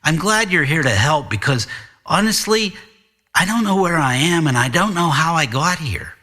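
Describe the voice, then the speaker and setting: A man's voice: fairly high pitch, lively intonation, normal speed, sharp tone, voice pushed up. A man voicing a bewildered, wry teenage boy who is hesitant but politely insistent, in an audiobook story. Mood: confused, insistent. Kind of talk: audiobook character dialogue.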